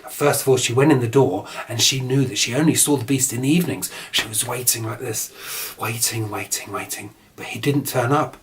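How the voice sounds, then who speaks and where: A man's voice: medium pitch, fairly quick, fairly bright tone. A man tells a children's story in an informal, improvised way. He chats along casually and drifts into offhand asides as he goes. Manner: chatty, digressive, mock-casual asides.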